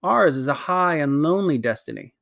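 A man's voice: a little high, lively intonation, fairly quick, vocal fry, fairly bright tone, dry recording, clean studio sound. Jadis, a cold proud queen, as a man reads her. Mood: imperious. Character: proud imperious queen, ruthless, grand.

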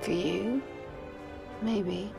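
A woman's voice: medium pitch, extremely slow, creaky, exaggerated voice. An actress playing a cool, mocking woman in a wartime affair, speaking in a clipped, cutting way over film music. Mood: tense, mocking. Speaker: actress in a period drama clip. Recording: loud dramatic music behind.

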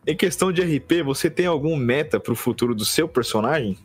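A man co-hosts a casual podcast, reading out viewer questions in a husky, slightly high voice. He sounds laid-back and his pitch moves a lot, with faint music underneath. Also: animated, a little fast.

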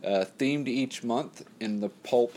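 A man's voice: mid-range voice, slow, dark tone, slight background noise. A man giving a podcast host's promotional announcement. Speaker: podcast host.

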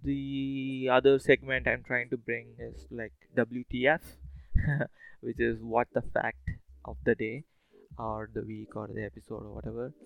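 Man, solo podcast host, informal rambling talk, gravelly fry, slightly dull muffled recording. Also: medium pitch, little pitch movement, a little slow, dry recording.

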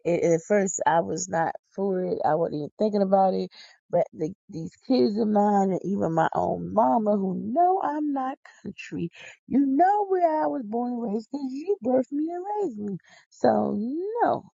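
A woman's voice: mid-range voice, animated, moderate pace, creaky, dark tone, slightly rough, no echo. A woman, hosting a podcast in a casual rambling monologue, tired, silly and folksy with exclamations. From Philadelphia. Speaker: podcast host. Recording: dull lo-fi dry recording.

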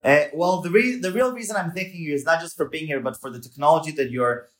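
A man's voice: a little high, fast talker, a bit bright. A man hosting a podcast, reading a scripted introduction and then asking the guest questions with emphatic enthusiasm. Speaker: podcast host.

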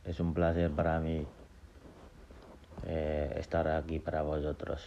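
Young man from Gambia, podcast host, slow and gravelly, telling his life story with fillers and restarts. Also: a bass voice, completely flat delivery, mellow. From Gambia and Senegal. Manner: halting, many fillers and restarts. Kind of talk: casual personal monologue. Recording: dull lo-fi sound with faint noise.

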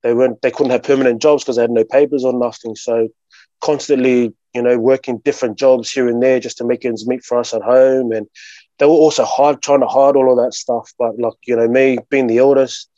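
Laid-back Australian man, mellow voice. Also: mid-range voice, fairly even intonation, brisk, no echo, muffled recording. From Sydney, Australia. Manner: laid-back, lots of "you know" and "bro". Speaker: guest. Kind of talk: casual interview talk.

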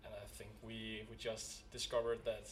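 A man's voice: on the low side, completely flat delivery, a little hiss or hum. Danish man groping for English words. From Denmark. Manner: halting, slips into Danish words. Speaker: band member.

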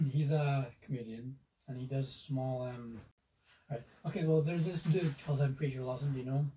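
A teenage boy with a Scottish accent, co-hosting a podcast in casual chat, hesitant and filling pauses with ums. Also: mid-range voice, steady tone, warm tone, distant mic, rough recording. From Scotland. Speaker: podcast co-host. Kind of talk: casual chat among friends.

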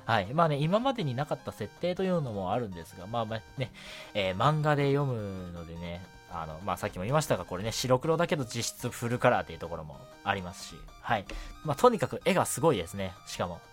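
A man's voice: lowish voice, sing-song, fast talker, soft background music. A man hosting a night radio show, chatting casually and politely on his own, often punctuating his talk with short affirmations. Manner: chatty, polite presenter phrasing with frequent short self-affirmations. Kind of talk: casual solo radio talk about anime and manga.

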